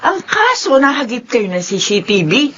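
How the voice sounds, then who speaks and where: An actress playing a stern, no-nonsense office boss, curt and authoritative, in a radio drama. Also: female voice, a little high, big pitch swings, normal speed, fairly bright tone, husky, exaggerated voice. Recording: dull, muffled radio drama audio.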